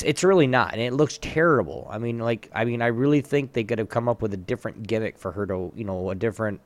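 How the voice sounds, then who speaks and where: A man's voice: fairly low pitch, a little mellow, no echo. A man chatting casually as a podcast co-host, rambling and blunt, full of slang. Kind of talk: casual chat. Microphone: online voice chat mic.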